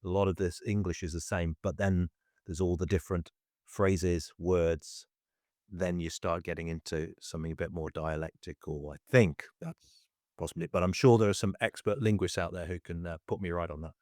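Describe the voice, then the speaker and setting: A man's voice: low voice, fairly even intonation, normal speed, darkish tone, no echo, studio quality. A man co-hosting a casual podcast, talking with frequent fillers and restarts. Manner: hesitant, many fillers and restarts. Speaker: podcast co-host.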